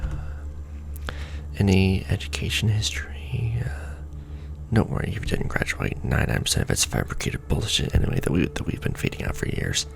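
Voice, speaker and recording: A man playing a casual, wry secret-society intake clerk in a soft-spoken, half-whispered ASMR roleplay, deadpan and rambling. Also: low-pitched, fairly quick, vocal fry, slight background noise, exaggerated voice. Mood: wryly amused. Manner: deadpan, rambling, mumbling to himself over paperwork. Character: casual, wry male Illuminati recruitment clerk doing intake paperwork.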